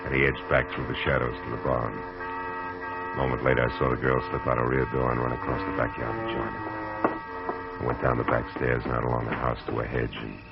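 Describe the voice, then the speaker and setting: An actor playing a hard-boiled private detective in a 1940s radio drama, dry and wisecracking. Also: male voice, a bass voice, level tone, brisk, gravelly, over loud music. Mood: narrating. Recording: muffled old radio recording.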